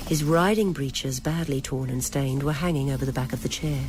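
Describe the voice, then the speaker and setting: A narrator reading a novel aloud in a measured, literary storytelling manner. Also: mid-range voice. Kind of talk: scripted audiobook-style narration of a novel.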